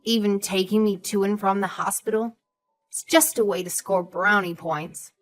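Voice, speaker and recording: A woman's voice: medium pitch, steady tone, normal speed, soft background music, close to an everyday voice, poor audio. A woman playing a haughty, suspicious elderly mother-in-law, scornful and condescending, in a scripted audio story. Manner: condescending, sharp, calls others sweetheart and darling.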